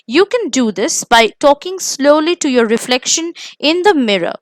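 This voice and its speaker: A woman with an Indian accent reading scripted coaching tips in a measured, emphatic instructional manner. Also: high voice, lively intonation, no echo, clean studio sound. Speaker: presenter of interview coaching lesson.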